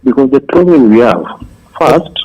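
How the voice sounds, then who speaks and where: A man's voice: mid-range voice, expressive, moderate pace, darkish tone, dull audio. A man from Tanzania calling in to a talk show, speaking hesitantly over a remote call. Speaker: caller.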